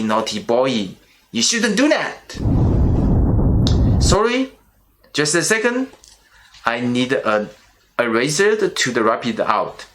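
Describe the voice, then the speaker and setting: Slow-speaking middle-aged man, Chinese accent. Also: mid-range voice, a bit bright. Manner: slow and careful, with restarts on small words. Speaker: channel host. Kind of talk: personal vlog monologue.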